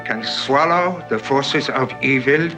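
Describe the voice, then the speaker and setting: A male actor plays a solemn older man, explaining ancient prophecy in a film. His delivery is very slow and weighty, mid-pitched, with music playing clearly behind him. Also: natural voice. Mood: solemn, ominous. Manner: solemn, measured, portentous. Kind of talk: dramatic film dialogue.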